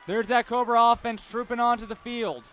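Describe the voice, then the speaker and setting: A man's voice: very high voice, fairly even intonation, brisk, fairly bright tone. A young American man working as a sports announcer, delivering reports and game commentary.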